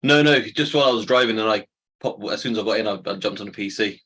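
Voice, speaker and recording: A man joining a trading call as a student who asks a question in a friendly, relaxed way. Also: fairly low pitch, fast, breathy edge. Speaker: student caller.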